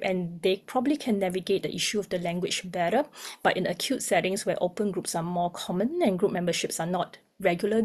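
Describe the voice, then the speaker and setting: A young woman, a music therapist giving a measured webinar presentation with frequent ums. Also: mid-range voice, darkish tone. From Singapore. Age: young adult.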